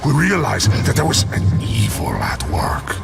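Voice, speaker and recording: An actor playing a grave, urgent cartoon character who speaks in dire earnest with a gravelly voice. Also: male voice, medium pitch, huge pitch swings, moderate pace, heavily acted. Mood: grave warning. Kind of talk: dramatic animated series acting. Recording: loud music behind the voice.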